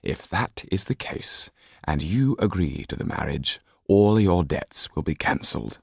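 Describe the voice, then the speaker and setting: A man voicing a formal French lawyer in an audiobook, measured and firm, with dry irony and quiet disapproval. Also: sits low, animated, gravelly, slightly rough, dry recording, exaggerated voice.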